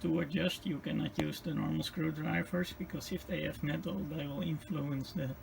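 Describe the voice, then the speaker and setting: Halting man with very creaky, higher-pitched voice. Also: steady tone. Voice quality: creaky, gravelly voice. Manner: halting, piecemeal explaining with repeated phrases. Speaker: radio repair tutorial host. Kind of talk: unscripted hands-on technical demonstration. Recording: clearly noisy home-made video sound.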